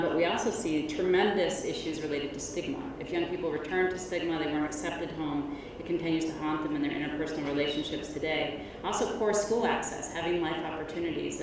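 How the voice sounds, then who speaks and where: Low-voiced woman lecturing in a hall. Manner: loud, measured presenter with frequent ums. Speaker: keynote speaker, researcher. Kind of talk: academic conference lecture. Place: large conference hall. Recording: echoey hall from far off, noisy.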